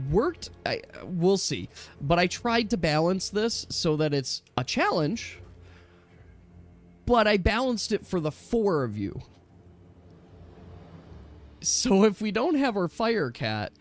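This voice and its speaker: Higher-pitched man chatting as game master. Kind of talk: casual chat with players.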